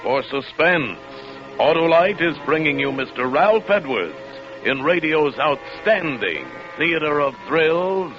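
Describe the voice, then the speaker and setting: A man's voice: a little high, big pitch swings, takes it slowly. A man announcing a vintage radio program in a formal, scripted style. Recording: muffled old radio, loud music behind.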